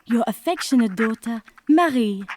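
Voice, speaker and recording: A woman's voice: on the high side, expressive, normal speed, a little mellow, slight background noise, lightly acted. An actress playing an affectionate noblewoman formally reading out her letter in a dramatised audiobook. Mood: fond, hopeful. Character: Marie of Guise, French noblewoman writing to her mother.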